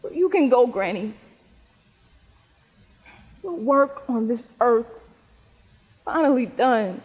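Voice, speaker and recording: A woman playing a grieving, vulnerable young student devoted to her grandmother, in a stage play, with a husky voice. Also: on the high side, expressive, slow, full character voice. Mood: grieving, tender. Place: theater stage in a reverberant hall. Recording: muffled echoey stage hall recording.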